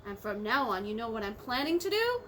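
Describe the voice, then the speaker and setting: A woman's voice: a little high, very animated, fairly quick, a bit bright, slight background noise, pitched below the performer's own voice. A woman voicing a big, proud mother kangaroo in a read-aloud storybook, speaking emphatically. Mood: emphatic.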